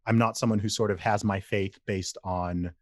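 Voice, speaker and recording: A man's voice: on the low side, fairly even intonation, normal speed. A man in his thirties from England, a podcast guest who tells stories animatedly, repeats phrases and laughs easily. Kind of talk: casual interview conversation.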